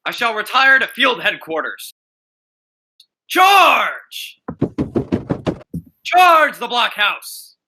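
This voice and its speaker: Young man playing a bright, forceful, pompous would-be commander. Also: huge pitch swings, extremely slow, pauses often, a little hiss or hum, heavily acted. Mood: commanding, pompous. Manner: booming, pompous, clipped military commands. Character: bombastic, deluded man playing a gung-ho president-commander. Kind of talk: high school radio play acting.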